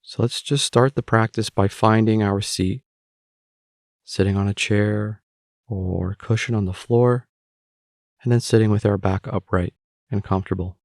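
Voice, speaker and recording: A man guiding a meditation in a calm, unhurried, instructional manner, leaving long pauses between phrases. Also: lowish voice, no echo, clean studio sound. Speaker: meditation guide. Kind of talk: guided meditation narration.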